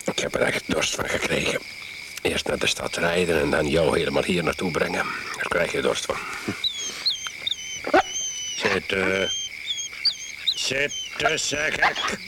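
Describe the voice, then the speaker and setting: Very low and animated, this chatty expatriate man holds forth insistently, prodding his listener with little questioning tags. It is naturalistic radio drama, set against very loud crickets, frogs and other creatures. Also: measured pace, pauses often. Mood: commanding. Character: chatty, domineering male expatriate host on a tropical estate. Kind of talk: naturalistic radio play acting. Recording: radio play with loud insect noise. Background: loud crickets, frogs and animal sounds.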